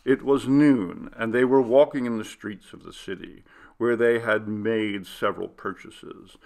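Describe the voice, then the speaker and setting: A man reading a classic Gothic story as scripted narration, in a theatrical, deliberate, literary manner. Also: on the low side, fairly even intonation, creaky, a little mellow. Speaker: audiobook narrator.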